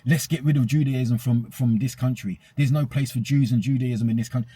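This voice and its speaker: A man's voice: medium pitch, steady tone, a little fast, dark tone, husky, no echo. A man with a British accent co-hosting a podcast, giving opinions in casual conversation with an emphatic, colloquial manner and repeating phrases for emphasis. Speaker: podcast co-host.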